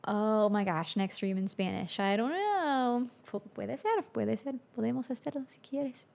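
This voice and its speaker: A woman's voice: a little high, animated, normal speed, creaky, darkish tone. A young woman hosting a music livestream from her home studio, chatting casually with viewers and answering their chat, now and then in Spanish.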